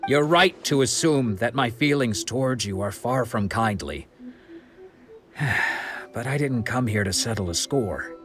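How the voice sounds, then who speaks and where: An actor voicing a weary wandering swordsman in a game, stern but caring, speaking in a grave, measured way with sighs. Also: mid-range voice, animated, music in the background, natural voice. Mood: earnest. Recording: game soundtrack behind.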